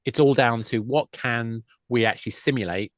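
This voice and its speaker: A man's voice: mid-range voice, moderate pace, dry recording. A man speaking as an expert guest in a panel discussion, explaining things emphatically and often breaking off to rephrase himself. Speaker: guest, games industry expert.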